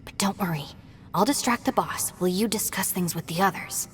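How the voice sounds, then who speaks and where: A woman's voice: mid-range voice, fairly quick, slight background noise, close to an everyday voice. An actress as a composed young woman, echoing. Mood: calm, discreet. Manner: composed, matter-of-fact. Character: calm, practical young woman deputy, level-headed. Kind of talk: video game voice acting. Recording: long reverb, music underneath.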